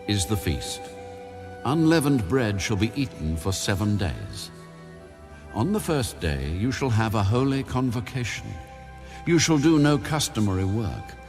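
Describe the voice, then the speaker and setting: A man narrating scripture in a measured, solemn, deliberate way, like an audiobook of the Bible. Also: on the low side. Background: background music.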